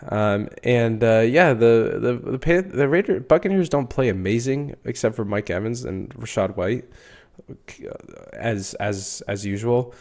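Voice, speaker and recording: A man's voice: medium pitch, animated, measured pace, a little mellow. A young man with a congested, stuffy voice, rambling casually through a solo sports podcast with lots of fillers and the odd swear. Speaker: podcast host.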